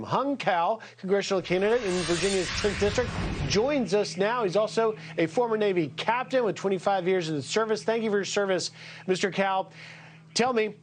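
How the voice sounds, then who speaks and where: A man anchoring a TV news segment, introducing a guest and asking pointed questions. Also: high voice. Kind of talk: TV news interview.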